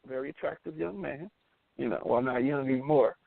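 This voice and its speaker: A man's voice: mid-range voice, brisk, vocal fry, no room sound. An American man, middle-aged, talking casually and candidly as a radio show host, blunt and emphatic, often repeating his phrases. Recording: tinny, phone-like band-limited audio.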